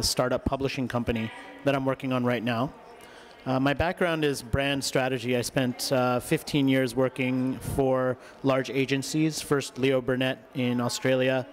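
A man in his thirties introducing himself conversationally as a speaker on a conference stage. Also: mid-range voice. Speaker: panel speaker, marketing author. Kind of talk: conversational self-introduction to an audience. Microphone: gooseneck microphone at seat.

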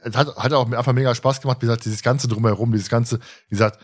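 A man's voice: medium pitch, fairly even intonation, fast. A man hosting a podcast in casual chat, with a colloquial, jokey way of talking. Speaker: podcast host.

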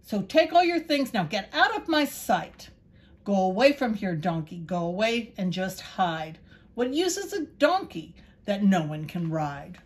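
A woman's voice: medium pitch, very animated, measured pace. A woman voicing a mean, tough man who owns a donkey, speaking harshly and scolding in a storybook reading.

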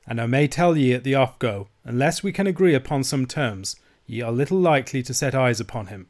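A man playing a proud, bold Scottish Highlander. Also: brisk, a little mellow, natural voice. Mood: cool, courteous. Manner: bold, dryly courteous, assured. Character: proud, stiff-necked, cool Highland Scottish gentleman.

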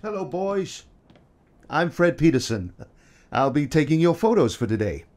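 An actor playing a polite, patient, slightly flustered photographer in an audio drama, hesitant and conciliatory. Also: male voice, fairly high pitch, very animated, normal speed, darkish tone. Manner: hesitant, conciliatory, with nervous chuckles.

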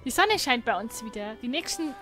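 A woman's voice: a little high, very animated, fairly quick, sharp tone. A woman co-hosting a gaming livestream in casual chat, commenting on the play. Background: game music.

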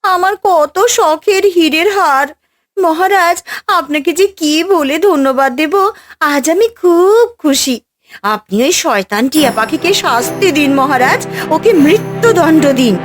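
A woman's voice: sits high, animated, measured pace, faint music behind. A woman voicing a haughty, scheming wicked queen in a cartoon fairy tale, imperious and theatrical. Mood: gleeful, spiteful.

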